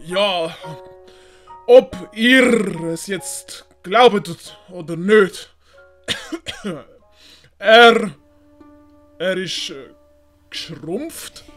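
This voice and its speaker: A woman with a Swiss German accent voicing a battered, boastful male captain who speaks haltingly in an audio story. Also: medium pitch, soft background music. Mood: shaken, halting. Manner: halting, groggy delivery. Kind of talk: hobby audiobook character acting.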